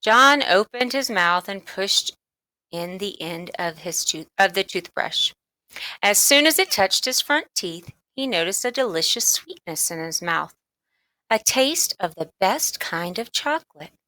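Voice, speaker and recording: Bright-voiced woman reading a children's story. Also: mid-range voice, lively intonation, measured pace, no echo, poor audio. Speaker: storybook reader. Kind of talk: scripted read-aloud narration for children.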